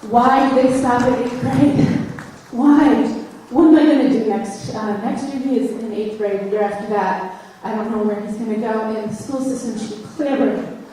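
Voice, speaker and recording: A woman's voice: a little high, steady tone, normal speed, hardly any pauses, dark tone, a little hiss or hum. A woman and mother testifying at a public hearing, earnest and pleading. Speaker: parent testifying. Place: large hall, indoors. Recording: echoey hall from far off.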